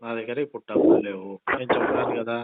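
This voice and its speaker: A man's voice: mid-range voice, lively intonation, very fast, creaky, crowd noise. A man on a phone call, answering a debt collector in a curt, stubborn way. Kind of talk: phone argument. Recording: muffled, narrow-band telephone line recording.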